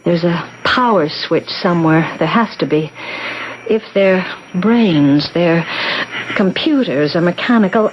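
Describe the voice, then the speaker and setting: A woman's voice: medium pitch, dull audio, lightly acted. An actress playing a confident, determined woman conspirator in 1970s radio drama, assured and insistent, on a noisy old broadcast. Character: determined, confident woman plotting to sabotage a sinister club.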